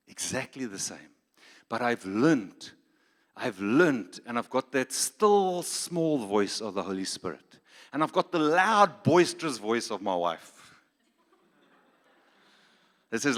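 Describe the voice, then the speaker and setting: A man's voice: mid-range voice, a bit bright. A man with a South African accent, preaching a sermon with emphatic rhetorical questions and playful asides. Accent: South African English. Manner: emphatic, rhetorical questions, switches to Afrikaans for jokes. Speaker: pastor. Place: large church hall. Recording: reverberant church hall.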